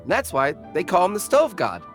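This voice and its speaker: An actor voicing a hesitant, apologetic attendant in a video game, speaking haltingly. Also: mid-range voice, huge pitch swings. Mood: unsure, apologetic. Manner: halting, unsure. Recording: game music behind.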